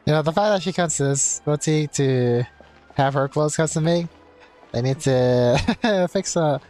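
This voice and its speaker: A man's voice: on the high side, unhurried, darkish tone. A man giving casual live commentary as he streams a game, musing and a little hesitant. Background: game music.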